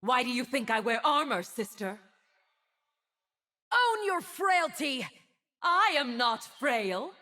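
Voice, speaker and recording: Cold righteous angel lady, slow and stern, game voice. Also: sits high, big pitch swings, pushed and bright, heavily acted. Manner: cold, commanding, measured. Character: stern armored angel woman, righteous and unforgiving. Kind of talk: video game voice lines.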